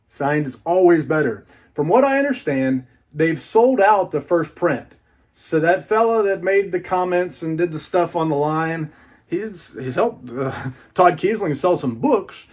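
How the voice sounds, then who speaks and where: A man with a slightly high-pitched voice talks informally as a collector showing off a purchase. His delivery is folksy and rambling, in the casual style of an unboxing video. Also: expressive, a little slow, darkish tone. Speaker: book collector reviewing an unboxing.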